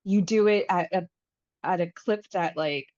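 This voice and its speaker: A woman in her thirties from the United States, hosting a podcast in a relaxed, chatty way, with lots of fillers and restarts. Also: fairly low pitch, a little slow, no room sound, dull audio. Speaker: podcast host. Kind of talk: casual conversation.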